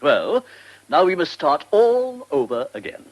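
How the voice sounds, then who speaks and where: An actor with an English accent playing a pompous, irascible government minister in a British radio drama, scolding and blustering. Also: male voice, high voice, big pitch swings, unhurried, full character voice, rough recording. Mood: exasperated. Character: pompous irascible male government minister, middle-aged or older.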